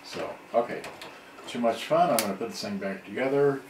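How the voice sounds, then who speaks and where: A middle-aged man with a fairly low voice talks casually and haltingly through a repair, rambling as he thinks aloud. He sounds far from the microphone in a very echoey room, with some faint noise behind him. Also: expressive. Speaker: hobbyist electronics repairer on a livestream. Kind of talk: unscripted casual live demonstration. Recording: distant mic, echoey room, background noise.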